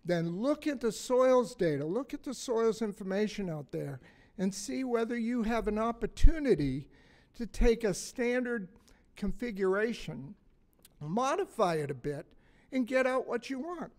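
An older man in his sixties with a slightly raspy voice, giving a blunt, folksy conference talk from a podium mic in a hotel meeting room, joking and asking the audience questions. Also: very high-pitched, very animated, a little slow, a little mellow. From Massachusetts, USA. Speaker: conference speaker. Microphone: stand mic on a podium.

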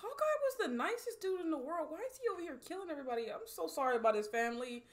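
A woman in her twenties giving casual, slangy, animated reaction commentary and swearing freely. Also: high-pitched, rapid-fire, background noise. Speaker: movie reaction host. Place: small indoor room set up as a streaming studio.

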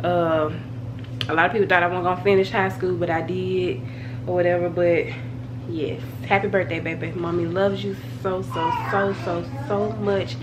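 A woman's voice: on the low side, flat delivery, moderate pace. A young woman with a cold, chatting casually to her phone camera at home with a congested, nasal voice. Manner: chatty, rambling, addresses viewers as y'all. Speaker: family vlogger. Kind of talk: casual vlog chat to camera. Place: bedroom at home, indoors. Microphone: phone camera held at arm's length. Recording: noisy home phone recording.

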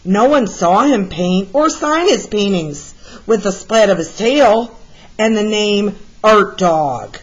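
A woman reading a children's picture book aloud as a storyteller, with deliberate, expressive delivery. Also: mid-range voice, breathy edge, lo-fi sound. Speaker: read-aloud storyteller, art teacher.

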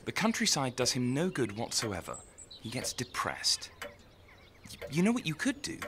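An actor playing a troubled, needy young son in an audio drama, speaking in an earnest, pleading, sensitive way. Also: male voice, medium pitch, huge pitch swings, normal speed, noisy background. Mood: coaxing. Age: young adult.

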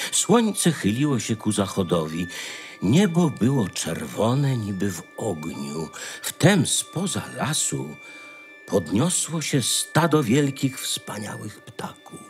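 A man narrating a fairy tale in a theatrical, expressive storytelling manner. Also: lowish voice.

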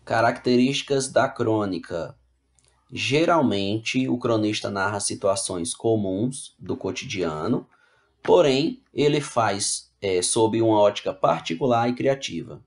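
A man's voice: fairly low pitch, lively intonation, a little slow. A male teacher giving an online class, reading slide text aloud and then rephrasing it in plain words, often checking in with the students. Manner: explains casually, checks in with "né?" and "tá bom?".

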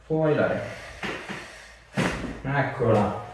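A man's voice: mid-range voice, expressive, slow. A man, giving short, direct instructions and questions as a chiropractor treating a patient. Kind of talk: hands-on clinical instructions and casual chat. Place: small bare treatment room, indoors. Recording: roomy, distant mic, faint noise, clicks.

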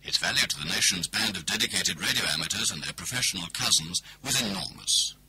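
A man's voice: low voice, expressive, fast talker, noisy background. A man playing the solemn narrator of a radio play, reading scripted history.

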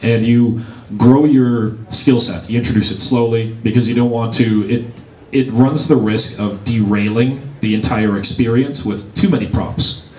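A man's voice: medium pitch, fairly even intonation, normal speed, a little mellow, a little hiss or hum. A man with a Canadian accent giving earnest step-by-step advice as a game master on a panel. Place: large convention room. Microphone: handheld mic, heard from across the room. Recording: echoey room from far off.

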